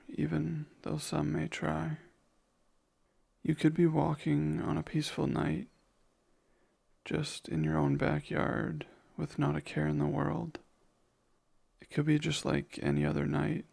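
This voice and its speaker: A man acts out a kind, haunted vampire in an intimate ASMR roleplay. He speaks slowly and tenderly, choosing formal words with care. The voice is deeply creaky, full of vocal fry, and hesitant with remorse. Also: darkish tone, full character voice. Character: kind, gentle, remorseful male vampire comforting someone. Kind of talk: ASMR audio roleplay, soft intimate monologue.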